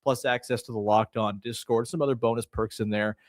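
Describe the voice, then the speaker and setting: A man's voice: medium pitch, steady tone, brisk, no echo, clean studio sound. A Canadian man hosting a sports podcast in an informal, chatty, slangy way. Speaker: podcast host.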